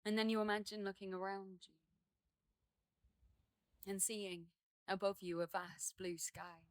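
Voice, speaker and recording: A woman leading a guided meditation outdoors, speaking in gentle, unhurried phrases with many pauses and soothing instruction. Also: mid-range voice, fairly even intonation, no echo. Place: outdoors in a garden.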